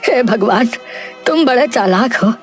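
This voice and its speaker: A very high, plaintive voice of a woman acting as a poor village farmer man in a cartoon story. He is desperate and devout, imploring with exclamations. Music plays softly underneath. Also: lively intonation, a little slow. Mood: grateful relief. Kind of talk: dramatised cartoon moral story.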